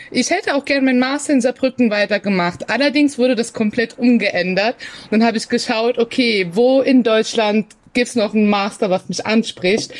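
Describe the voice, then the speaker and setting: Woman, twenties, slightly high pitch, casual chat, student podcast guest. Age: 20s.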